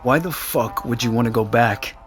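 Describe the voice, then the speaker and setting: A man's voice: medium pitch, moderate pace, a bit bright, soft background music, close to an everyday voice. An actor playing a concerned male friend in naturalistic audio fiction, dry and blunt, with a needling, teasing edge. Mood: worried, challenging.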